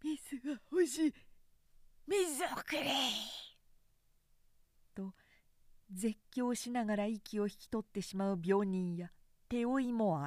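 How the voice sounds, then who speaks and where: A woman narrator giving a measured, carefully enunciated dramatic reading of literature. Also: mid-range voice, dark tone, no echo, clean studio sound. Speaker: professional narrator.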